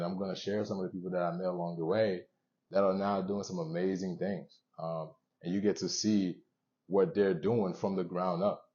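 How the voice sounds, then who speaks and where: A young man with a deep, mellow voice hosts a motivational show. He talks earnestly and conversationally to viewers, pausing between short phrases. The recording sounds a little dull and lo-fi. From born in Haiti, moved around the US. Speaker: show host.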